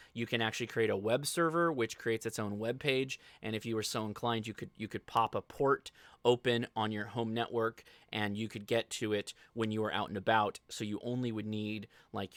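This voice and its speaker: Bright, crisp-voiced American man hosting a livestream. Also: medium pitch, dry recording, studio quality. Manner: informal, thinking aloud. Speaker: host of a live ham radio show. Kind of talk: casual live software walkthrough to viewers.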